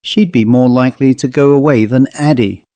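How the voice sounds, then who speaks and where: A man's voice: medium pitch, normal speed, dark tone, dry recording, highs cut off, close to an everyday voice. Man as a curt, guarded country housewife. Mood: cool, dismissive. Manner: curt, dismissive, evasive. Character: stern, guarded rural New England housewife. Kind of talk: dramatised storybook reading.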